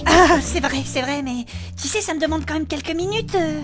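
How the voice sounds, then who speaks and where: A woman's voice: high-pitched, moderate pace, natural voice. A woman playing a coquettish, easily offended armoured adventurer in a French-style comic fantasy audio drama, with hesitant, colloquial, cooing speech. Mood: explaining, reluctant. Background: music.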